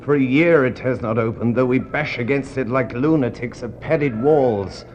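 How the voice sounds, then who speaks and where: An actor playing an anguished, doomed ship's commander in a 1960s poetic radio drama, with a grave, theatrical and deliberate delivery. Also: male voice, medium pitch, a little mellow, lightly acted. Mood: rising anguish. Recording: dull, noisy 1960s radio recording.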